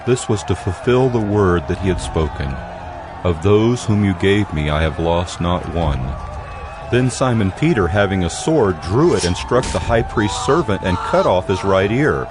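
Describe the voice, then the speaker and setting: A man's voice: low-pitched, expressive, measured pace, music in the background. A man narrating scripture from a script in a dramatized production.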